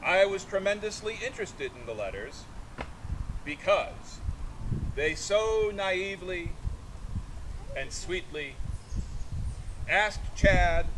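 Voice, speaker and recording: Man declaiming with sweeping, high-set pitch. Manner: declamatory, in short broken phrases. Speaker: artist reading aloud and taking questions. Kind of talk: live literary reading. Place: outdoors in a sculpture park. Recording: noisy outdoor recording with vehicle rumble.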